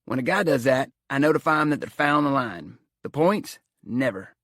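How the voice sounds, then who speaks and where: A man's voice: mid-range voice, expressive, normal speed, fairly bright tone, dry recording, clean studio sound, pitched above the performer's own voice, natural voice. A man voicing a peppy little carnival shooting gallery man in his seventies with folksy, leering banter.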